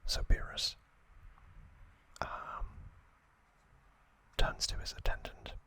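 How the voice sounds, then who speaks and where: A man hosting ASMR, whispering trivia in a breathy, hushed way, in short halting phrases. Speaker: ASMR host.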